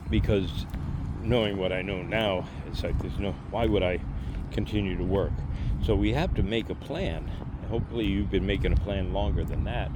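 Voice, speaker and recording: A man in his late fifties giving money and marriage advice in a casual, rambling walk-and-talk, with many fillers and restarts. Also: sits low. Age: 50s. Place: outdoors on a walk near traffic. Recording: outdoor street noise with passing cars.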